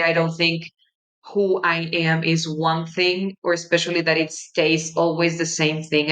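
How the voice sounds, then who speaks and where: A young woman from Mexico, a native Spanish speaker with a Mexican Spanish accent, hosting her podcast in a gentle, reflective monologue with soft pauses. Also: fairly low pitch, rough recording. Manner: gentle, warm-hearted, unhurried with soft pauses. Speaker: podcast host.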